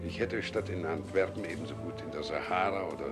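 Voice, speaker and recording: An actor playing an eccentric, cryptic hunchbacked scholar in a radio play, speaking with dry deliberation. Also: male voice, sits low, fast talker, over loud music, lightly acted. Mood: wry, melancholy. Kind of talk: literary radio play acting.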